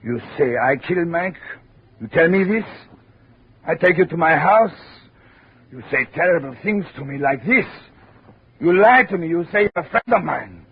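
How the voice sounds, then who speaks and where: An actor playing an excitable middle-aged French steelworker with a French accent and broken English, in a 1950s radio drama. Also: male voice, on the high side, moderate pace, husky. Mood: indignant. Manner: broken English, emotional, flaring into anger. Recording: muffled old radio broadcast.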